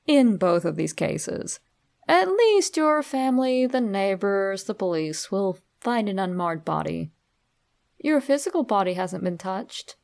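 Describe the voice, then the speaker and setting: A woman reading a scripted horror story straight to the listener in a dramatic, deliberate way. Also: medium pitch, big pitch swings, gravelly, a little mellow. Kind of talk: scripted creepypasta narration, addressed to the listener.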